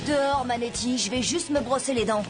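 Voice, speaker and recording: A woman voicing a clever, wry, often exasperated preteen schoolboy in an animated cartoon dub. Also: fairly high pitch, brisk, over music, natural voice. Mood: polite, weary. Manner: reasoning, wry, expressive.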